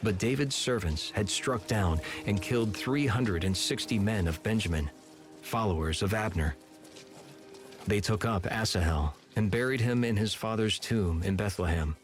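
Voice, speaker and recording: A man narrating scripture from a script in a measured, solemn manner. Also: lowish voice. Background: music.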